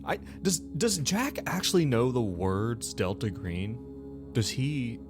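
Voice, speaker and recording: A man's voice: medium pitch, very animated, takes it slowly, over music. A man hosting a tabletop game show as game master, chatting and recapping casually in a rambling way, often correcting himself.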